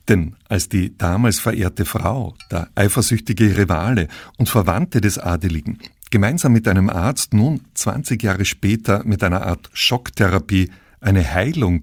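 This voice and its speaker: Male presenter, scripted drama introduction, lowish voice, articulate, no room sound. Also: a little mellow, slightly rough, dry recording, clean studio sound. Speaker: radio presenter. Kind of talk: scripted introduction to a radio play. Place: dry radio studio. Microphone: close studio microphone.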